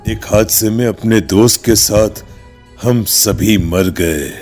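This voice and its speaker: A man's voice: lowish voice, slow pace, a little mellow, soft background music. A man playing a weary ghost pallbearer who talks solemnly in a cartoon horror story. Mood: solemn, sorrowful. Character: weary ghost man, matter-of-fact pallbearer with a tragic past.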